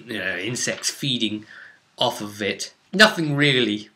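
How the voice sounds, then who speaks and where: A man's voice: fairly low pitch, unhurried, sharp tone. A man recounting a tabletop role-playing session as game master, informal and rambling, with descriptive detail and dramatic emphasis on key words.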